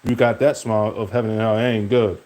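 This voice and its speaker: A man's voice: level tone, fast, darkish tone. A young man streaming, rambling from topic to topic in casual talk and speaking to an absent rival. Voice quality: deep voice.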